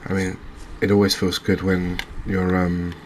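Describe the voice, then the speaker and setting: A man giving quiet, unscripted ASMR-style game commentary, unhurried and thinking aloud with pauses. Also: low voice, completely flat delivery, a little hiss or hum. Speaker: gaming video host.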